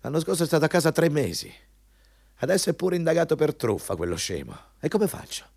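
An actor playing a cynical, vulgar, bullying company executive with a scornful, overbearing manner in a radio drama. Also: male voice, on the high side, very animated, brisk, vocal fry, a little mellow, slightly rough, dry recording, clean studio sound, exaggerated voice. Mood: exasperated.

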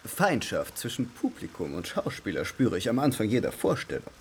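A man's voice: fairly low pitch, huge pitch swings, moderate pace, slight background noise. An actor playing a male stage actor who talks in a wry, chatty way.

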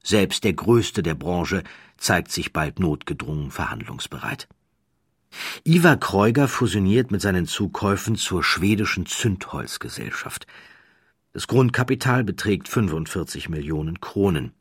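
A man reading a scripted documentary narration in a measured, deliberate way with dramatic emphasis. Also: low-pitched, lively intonation, husky, dry recording, studio quality.

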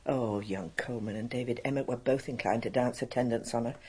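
Reserved English spinster, husky voice, clipped, matter-of-fact, frank, radio play. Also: female voice, extremely low pitch, fairly quick, darkish tone. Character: brisk, reserved spinster expedition assistant, devoted and frank. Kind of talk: radio drama acting.

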